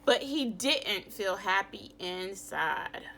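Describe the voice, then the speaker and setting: Bright, unhurried woman teacher reading aloud. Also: medium pitch, gravelly, a little hiss or hum, poor audio. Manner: expressive, instructive, asks questions of pupils. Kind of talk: children's read-aloud lesson.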